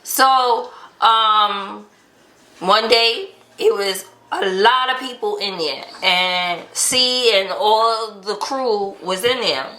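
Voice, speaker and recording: A woman's voice: mid-range voice, slow pace, sharp tone. A woman telling a personal story in casual conversation, acting out quoted dialogue as she goes. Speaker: vlog host telling relationship stories. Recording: echoey untreated room.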